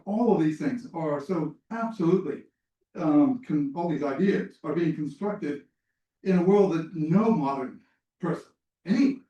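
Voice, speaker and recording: A man's voice: on the high side, mellow, slight background noise. A man in a seminar giving a thoughtful academic comment, with some hesitant fillers. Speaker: seminar participant. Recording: echoey room, far from mic.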